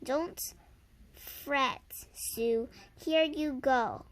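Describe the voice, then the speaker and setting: A woman's voice: sits high, very slow. A young girl reading the part of a confident, gallant cowboy hero in a storybook tall tale, bold and folksy.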